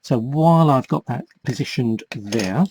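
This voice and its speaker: A man with a slightly husky voice giving a casual step-by-step repair demonstration, explaining patiently and a little hesitantly as he works with his hands. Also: mid-range voice, expressive, measured pace, a little mellow, a little hiss or hum. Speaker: host of a toy repair tutorial.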